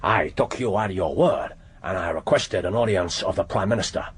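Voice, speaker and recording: An actor playing a commanding, wry older Japanese intelligence chief in a radio drama, with a Japanese accent and formal, deliberate phrasing. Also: male voice, sits low, slightly rough. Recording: noisy radio drama recording.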